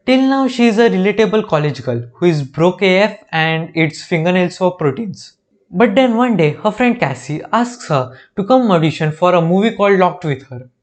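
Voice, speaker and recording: A man's voice: sits high, animated, normal speed. A young man with an Indian accent, recapping a TV show with sarcastic, jokey asides. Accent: Indian English. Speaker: reaction video host. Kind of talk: comedic recap narration.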